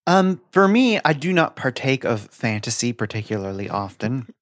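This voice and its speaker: A man's voice: medium pitch, very animated, moderate pace, no room sound, studio quality. A man co-hosting a casual podcast, recommending things in an emphatic, gushing and slightly wry way. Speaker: podcast co-host. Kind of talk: casual book recommendation chat.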